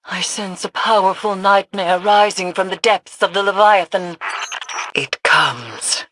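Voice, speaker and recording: An actress playing a grave mystic seer in a video game, speaking solemnly and formally. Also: female voice, mid-range voice, measured pace, a bit bright, slight background noise, natural voice. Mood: ominous, commanding.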